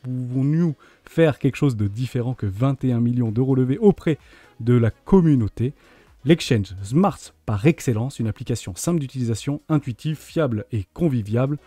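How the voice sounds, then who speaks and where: A man's voice: medium pitch, expressive, normal speed, mellow, no room sound. A man hosting a video interview, reading a formal introduction and asking questions with enthusiasm. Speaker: host.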